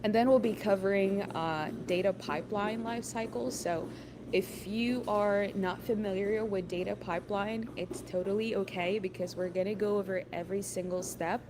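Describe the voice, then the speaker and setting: A woman's voice: mid-range voice, steady tone, moderate pace, noisy background. A woman from Ethiopia presenting an online workshop, friendly and encouraging, with many ums and uhs. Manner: frequent fillers, friendly and encouraging.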